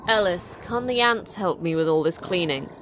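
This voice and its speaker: A woman's voice: fairly low pitch, lively intonation, normal speed, fairly bright tone, slight background noise. A woman playing a terse, scheming facility manager in a sci-fi horror audio drama, dry and clipped in delivery. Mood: wry, resigned.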